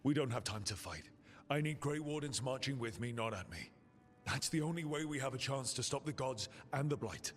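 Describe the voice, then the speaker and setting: An actor playing a brash, defiant young assassin who leads a team in a video game, speaking with confident insistence. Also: male voice, medium pitch, animated, brisk, a bit bright, faint music behind, lightly acted. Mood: firm, insistent. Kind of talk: dramatic video game dialogue. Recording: long reverb.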